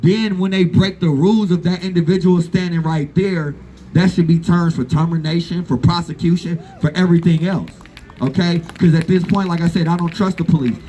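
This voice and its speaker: A man's voice: fairly high pitch, fairly even intonation, a little fast, mellow, a little hiss or hum, poor audio. A man in his thirties giving a blunt, profane and impassioned speech at an outdoor rally, repeating phrases for emphasis. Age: 30s. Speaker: activist speaker at a rally. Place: outdoor gathering.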